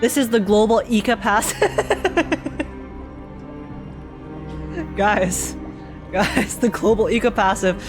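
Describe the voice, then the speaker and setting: A woman's voice: mid-range voice, drawn-out words, long pauses, music in the background. A woman streamer giving casual, emotional live commentary on a game in loose fannish slang.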